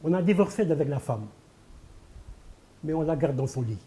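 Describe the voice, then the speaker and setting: Older Senegalese poet, Pulaar first language, slightly high yet deeply dark tone, rhetorical and emphatic. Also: male voice, lively intonation, normal speed. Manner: emphatic, repeats key phrases, rhetorical. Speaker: poet and candidate guest. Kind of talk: televised interview.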